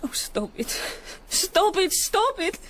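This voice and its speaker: A woman's voice: very high-pitched, very animated, takes it slowly, a bit bright, full character voice. An actress playing an anxious, proper married Spanish woman, an older sister, fretful and emotional, in a radio historical drama. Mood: agitated.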